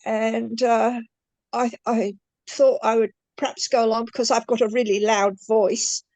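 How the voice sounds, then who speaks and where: Older kiwi lady, gravelly and kinda high, talks real slow and halting, dry close sound. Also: little pitch movement, darkish tone, dry recording. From New Zealand. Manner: slow, halting, repeats words as she goes. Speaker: guest. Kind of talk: conversational interview.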